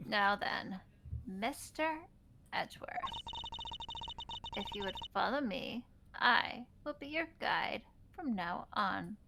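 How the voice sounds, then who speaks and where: Woman voicing a drowsy, slow-talking young flight attendant. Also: mid-range voice, animated, vocal fry, background noise. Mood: nonchalant. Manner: drowsy, drawn-out, nonchalant. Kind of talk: amateur video game dub.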